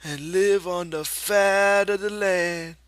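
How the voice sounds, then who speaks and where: A man's voice: fairly even intonation, slow pace, clean tone, no room sound. A man voicing a big, simple-minded man with a childlike, eager, pleading manner and rustic speech, in a storybook reading. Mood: eager, excited. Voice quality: high, loud, strained voice.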